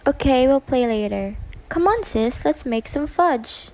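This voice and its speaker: A woman's voice: high voice, animated, a little slow, a little mellow, rough recording. A woman playing a chatty, restless schoolgirl daughter in an amateur radio play. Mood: eager. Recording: faint background noise, clicks.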